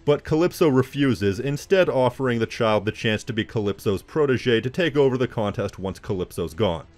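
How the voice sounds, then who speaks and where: A man's voice: medium pitch, lively intonation, moderate pace, a little mellow. A man delivering scripted video commentary as an essayist, with snide, wry asides.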